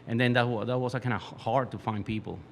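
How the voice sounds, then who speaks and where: A man from Venezuela with a Venezuelan Spanish accent, a native Spanish speaker and metal musician guest, speaking haltingly as he searches for words. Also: medium pitch, fairly even intonation, slight background noise.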